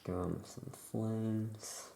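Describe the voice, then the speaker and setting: A soft-spoken ASMR host murmuring thoughts aloud in halting short phrases, close to the microphone, while writing. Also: medium pitch, steady tone, creaky, slight background noise. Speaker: ASMR host playing a witch shopkeeper.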